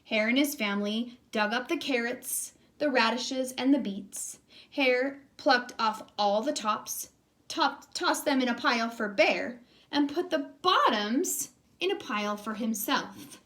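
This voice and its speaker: A woman's voice: on the high side, animated, unhurried, fairly bright tone. A woman teacher reading a children's storybook aloud expressively, speaking directly to young listeners.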